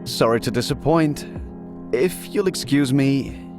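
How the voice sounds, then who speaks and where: An actor playing a modest, wary high elf man with a hesitant manner in a fantasy audio drama. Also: measured pace, music in the background, lightly acted. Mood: dismissive.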